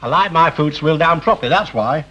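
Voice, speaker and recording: A man's voice: fairly high pitch, lively intonation, quick pace, sharp tone, highs cut off. An actor playing a grumbling, domineering middle-aged working-class father with a Lancashire accent, blunt and needling, in a 1960 British television soap. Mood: indignant. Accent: Northern English, Lancashire. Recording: faintly noisy 1960 television soundtrack.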